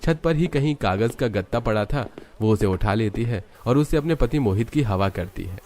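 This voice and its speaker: A man's voice: fairly low pitch, lively intonation, moderate pace, a little mellow. A man narrating a scripted story as the storyteller.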